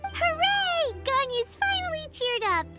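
A woman voicing a tiny, childlike fairy companion in an anime game, squeaky, cheeky and excitable. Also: a little slow, over loud music, heavily acted. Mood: gleeful.

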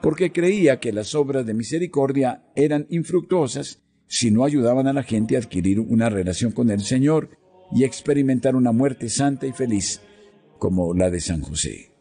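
A man reading a devotional text in a slow, solemn, measured way, pausing between phrases. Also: medium pitch, expressive, breathy edge. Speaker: devotional reader. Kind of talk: slow scripted devotional narration. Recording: soft music underneath.

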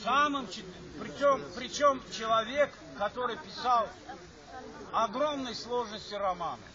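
Older man, rambling reunion speech. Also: very high voice. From Azerbaijan. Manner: rambling, repeats himself, stops to restart. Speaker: alumni speaker. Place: assembly hall. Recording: dull lo-fi recording with chatter from the audience.

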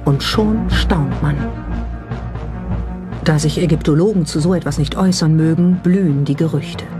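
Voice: mid-range voice, moderate pace. A documentary narrator reading a scripted narration. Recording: music under the narration. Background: music.

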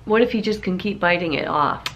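A woman's voice: on the low side, fairly quick, a bit bright, highs cut off. A woman in her fifties chatting casually, as a mother on a family vlog. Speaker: vlogger's mother. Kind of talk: casual chat. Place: apartment rooms. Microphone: handheld camera at a short distance.

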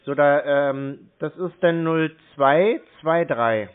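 A man's voice: on the high side, smooth. Dawdling man playing dumb on the phone. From Germany. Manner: slow, dawdling, feigned confusion. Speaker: scam-baiting caller. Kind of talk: playing dumb on a prank call. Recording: tinny phone line.

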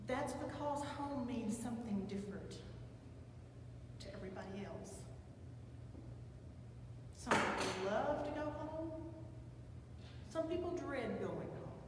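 A woman's voice: on the high side, animated, recorded from a distance, noisy background. Woman preaching in an echoey church. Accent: Southern American. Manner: measured, reflective delivery with slow emphatic pauses. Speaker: pastor. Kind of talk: scripture reading and sermon. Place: large church sanctuary with pipe organ, at a pulpit. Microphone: far camera, pulpit microphone. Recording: echoey church hall, heard from afar.

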